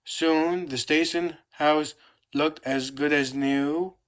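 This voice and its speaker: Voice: medium pitch, fairly even intonation, slow. A narrator reading a children's storybook aloud in a scripted read-along style.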